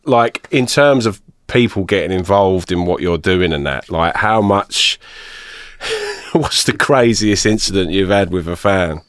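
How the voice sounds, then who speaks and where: A man's voice: sits low, wildly swooping pitch, unhurried, a bit bright, dry recording, studio quality. A man, hosting a podcast interview in a pub, talking eagerly and stumbling over his words. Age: 30s. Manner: enthusiastic, stumbling, chatty. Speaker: podcast host. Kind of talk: casual interview chat.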